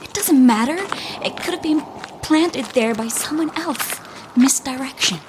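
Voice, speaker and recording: A woman playing a troubled, guilt-ridden young bride in an audio drama, intense and halting, often dropping to whispers. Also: high voice, background noise. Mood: hushed, secretive. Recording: clear ambient sound behind voice.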